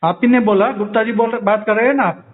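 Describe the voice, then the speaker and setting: A man's voice: high-pitched, steady tone, fast talker. A man complaining as a customer on a call, firm, insistent and repetitive. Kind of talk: phone complaint. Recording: tinny phone call recording.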